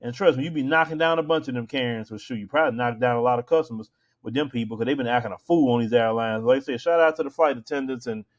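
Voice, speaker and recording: A man's voice: mid-range voice, quick pace, lo-fi sound. An American man hosting a show, giving casual opinion commentary in an emphatic, colloquial, conversational way.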